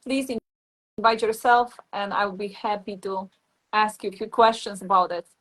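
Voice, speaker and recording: A woman's voice: medium pitch, fairly even intonation, normal speed, rough recording. A woman from Poland with a Polish accent hosts a casual online interview, speaking hesitantly and repeating words as she searches for phrasing. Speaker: host.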